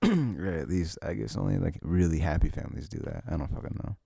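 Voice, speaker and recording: Male, very low and gravelly, for intimate ASMR rambling; halting, informal, sweary delivery. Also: expressive, mellow, dry recording, studio quality. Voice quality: low, husky, creaky voice. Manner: sniffles habitually. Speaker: ASMR audio performer. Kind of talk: intimate rambling ASMR chat, reminiscing to a listener.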